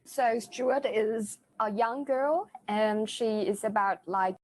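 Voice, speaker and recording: A young woman in her twenties with a somewhat high voice chats on a podcast. She talks earnestly, spinning a playful improvised pitch with a straight face. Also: slow pace, slight background noise. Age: 20s. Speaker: theatre group member, guest. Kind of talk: casual podcast chat.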